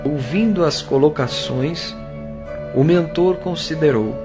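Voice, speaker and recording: A man narrating an audio drama from a script in a measured, literary storytelling manner. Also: a little high. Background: music.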